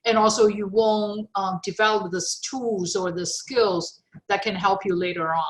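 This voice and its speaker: A middle-aged woman whose first language is Chinese answers an interviewer's question. She works through her thoughts slowly, with plenty of fillers and self-corrections. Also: medium pitch, fairly even intonation. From China. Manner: thoughtful, many fillers and restarts. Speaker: guest. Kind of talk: interview, personal story.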